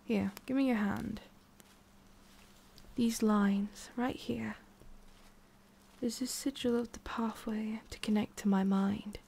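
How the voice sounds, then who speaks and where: A woman playing a lonely, guarded immortal witch in an intimate ASMR roleplay, speaking softly and often dropping to a whisper. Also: medium pitch, fairly even intonation, takes it slowly, gravelly, long pauses, slightly rough, heavily acted. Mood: guarded, gentle.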